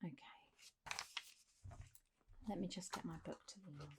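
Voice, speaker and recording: A woman doing a soft, half-whispered ASMR roleplay as a gentle, reassuring doctor talking a patient through care, in a close recording with faint hiss. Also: on the low side, fairly even intonation, vocal fry. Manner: gentle, reassuring, unhurried doctor's bedside talk. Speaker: ASMR performer playing a clinic doctor.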